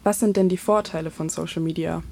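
Low gravelly young woman presenter. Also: fairly even intonation, brisk, a little mellow. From Germany. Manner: reads from script, poses questions. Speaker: student radio presenter. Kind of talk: scripted moderation.

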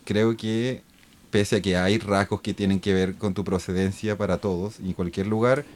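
Reflective Chilean man, low voice. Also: fairly even intonation, a little mellow. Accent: Chilean Spanish. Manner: reflective, halting, restarts words often. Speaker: guest. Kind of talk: casual podcast conversation.

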